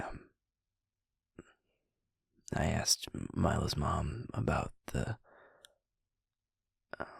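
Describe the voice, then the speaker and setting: Guy acting as a sweet werewolf boyfriend in audio rp, low gravelly voice, kinda halting n self-conscious. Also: dry recording, studio quality, full character voice. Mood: self-conscious, subdued. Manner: halting, hushed, stammering, sighing. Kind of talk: intimate audio roleplay acting.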